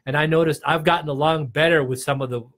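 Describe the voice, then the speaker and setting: A man's voice: fairly high pitch, steady tone, fast, a bit bright. A man of forty-one chatting easily on a podcast, rambling and agreeing often. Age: 40s. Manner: easygoing, rambling, lots of agreeing fillers. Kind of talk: casual chat.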